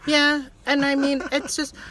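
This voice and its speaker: Higher-voiced woman chatting casually, haltingly. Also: completely flat delivery, crowd noise. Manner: halting, filler-heavy, trailing off and restarting. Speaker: vlog co-host reviewing a film. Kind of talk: casual chat.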